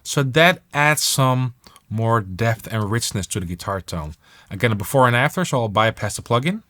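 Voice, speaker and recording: A man presenting a software tutorial on music production in a casual, enthusiastic and emphatic way. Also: mid-range voice, expressive, moderate pace.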